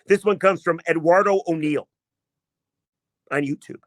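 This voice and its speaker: A man hosting a sports podcast, talking in a casual, emphatic and self-mocking way, with a voice he himself calls not deep. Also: unhurried, no echo, clean studio sound. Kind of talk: casual sports commentary monologue.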